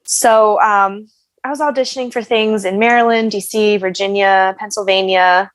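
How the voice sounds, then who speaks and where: American woman guest telling stories casually, animated, self-deprecating, mid pitch, dry recording. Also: moderate pace, dry recording. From United States. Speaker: guest, actress and singer. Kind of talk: casual interview chat.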